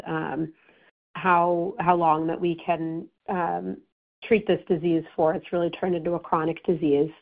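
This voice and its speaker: A hoarse woman doctor explains treatment options in an informative way, with frequent ums and false starts. Her voice is low and gravelly. The sound is thin and phone-like. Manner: informative, many ums and restarts. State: hoarse, recovering. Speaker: breast oncologist presenting. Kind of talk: medical presentation to patients. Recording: tinny phone-line audio.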